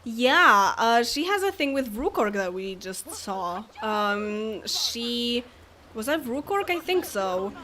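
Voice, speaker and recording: A woman's voice: on the high side, sing-song, slow pace, sharp tone. Chatty woman streaming a game. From Poland. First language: Polish. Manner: chatty, laughs often, talks to game characters. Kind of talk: casual live game commentary.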